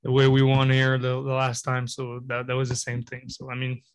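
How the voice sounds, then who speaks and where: A young man answers reporters after a game, speaking into a table mic with his phrases broken up by "you know" and "I mean". His pitch barely moves, staying level and even throughout. The sound is dry and close, with no room echo. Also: a little fast, clear, resonant tone. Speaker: hockey player. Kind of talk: postgame press conference answers. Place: press conference room. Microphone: table mic in front of him.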